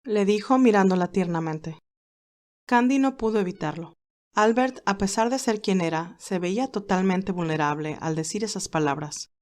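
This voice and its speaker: A woman's voice: medium pitch, a little mellow. A woman narrator reading a scripted romantic story.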